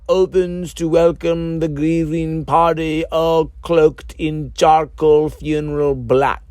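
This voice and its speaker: A man narrates a moody, macabre story in a fairly high male voice. He takes his time, delivering each phrase deliberately and theatrically with measured pauses. The sound is dry and close. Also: no echo. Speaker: author reading own script. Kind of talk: scripted dark comedy narration, audiobook reading.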